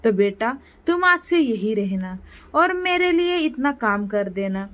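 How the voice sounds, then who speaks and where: A woman's voice: a little high, very animated, slow, fairly bright tone, exaggerated voice. A woman voicing a generous, kindly old grandfather in a moral story. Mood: grateful, kindly.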